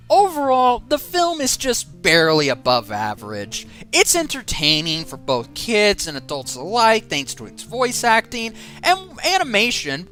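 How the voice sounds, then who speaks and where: Animated woman ranting in a review. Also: medium pitch. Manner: exasperated, sarcastic ranting. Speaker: film reviewer. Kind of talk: casual online review commentary.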